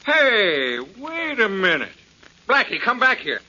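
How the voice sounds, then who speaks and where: High, excitable radio policeman. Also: slow pace, heavily acted. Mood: flustered protest. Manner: excitable, protesting. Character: flustered, gullible male police desk officer. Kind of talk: 1940s radio drama acting. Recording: muffled 1940s radio recording.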